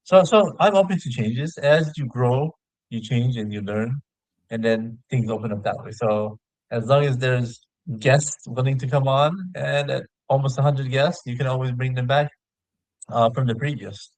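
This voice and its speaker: A man's voice: mid-range voice, normal speed, darkish tone, no echo, low-quality recording. A man who hosts his own show, chatting casually as a guest. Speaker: video show host appearing as a guest. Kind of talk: casual chat.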